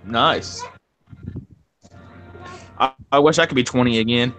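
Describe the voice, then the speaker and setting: Bright-voiced young man, joking and loose. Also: medium pitch, a little slow, soft background music. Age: young adult. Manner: loose, joking, swears freely. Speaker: co-host of a live stream. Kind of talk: casual chat. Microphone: video call.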